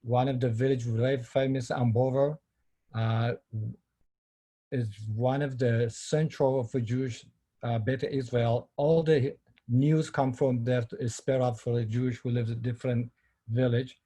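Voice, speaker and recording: A middle-aged man from Ethiopia speaking halting with many fillers, giving a personal talk on a video call. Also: mid-range voice, steady tone, dark tone. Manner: halting non-native English with many fillers. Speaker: filmmaker and panel speaker.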